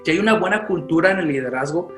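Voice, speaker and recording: A man's voice: fairly high pitch, animated, fairly bright tone. Youth pastor guy from chicago, spanish first language, keeps restarting and dropping "so", echoey room with music under it. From Chicago, United States. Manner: halting, many restarts and English fillers like "so". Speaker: youth pastor and podcast guest. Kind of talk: casual interview talk.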